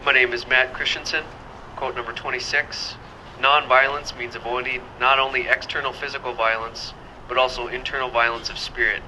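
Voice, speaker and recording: A man's voice: medium pitch, flat delivery, brisk, pushed and bright, a little hiss or hum, rough recording. A man carefully reading a quotation aloud.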